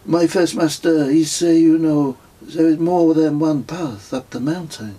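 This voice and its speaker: A man's voice: fairly high pitch, unhurried, mellow, slightly rough, voice pushed up, low-quality recording. A man doing a smug pilgrim with a French accent. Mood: sagely. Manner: broken English, sing-song, self-satisfied. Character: smug wandering male pilgrim, serene.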